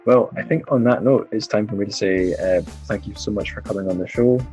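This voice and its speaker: A man's voice: lowish voice, brisk, a little mellow, faint music behind. A man hosting a podcast, talking in an informal, wryly humorous way. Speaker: podcast host. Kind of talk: casual podcast outro and chat.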